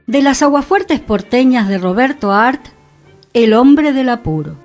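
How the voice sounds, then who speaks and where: A woman's voice: on the high side, expressive, unhurried. A woman with a Buenos Aires accent presenting a radio show and reading literature aloud expressively, over a music bed. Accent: Argentine, Buenos Aires.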